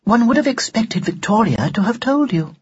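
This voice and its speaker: Narrator putting on a prim, haughty Victorian doll, raised pitch. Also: very animated, moderate pace, husky, muffled recording. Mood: haughty, scornful. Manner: formal, precise, condescending. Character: antique Victorian girl doll, prim, haughty, old-fashioned.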